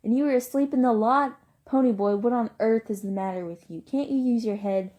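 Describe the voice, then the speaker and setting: A woman voicing an angry, overbearing young man who shouts and scolds as guardian of his younger brother. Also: sits high, fast talker, vocal fry. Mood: furious, scolding.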